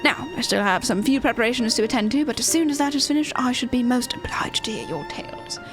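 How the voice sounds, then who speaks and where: A woman's voice: sits high, fast talker, over music, lightly acted. A woman voicing a polite, eager cartoonist and storyteller in a video game, with formal, florid phrasing. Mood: eager courtesy.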